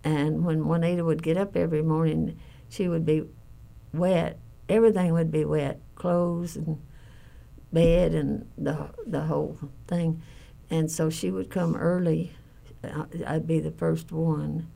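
A middle-aged woman recalling family caregiving in an interview, speaking plainly, haltingly and earnestly. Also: low voice, level tone, gravelly, mellow. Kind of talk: personal interview recollection.

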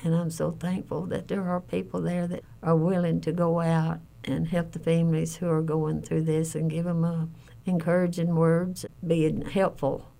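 A middle-aged woman recalling family caregiving in an interview, speaking plainly, haltingly and earnestly. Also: low voice, flat delivery, creaky, mellow, poor audio. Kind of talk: personal interview recollection.

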